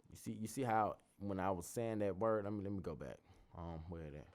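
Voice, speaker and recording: A young man with a very low, bassy voice, hosting a relaxed tutorial. His delivery is loose and rambling, looping back and restarting phrases as he works things out aloud. He sounds conversational rather than scripted. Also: a little fast. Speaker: tutorial host. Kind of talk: casual screen-recorded tutorial.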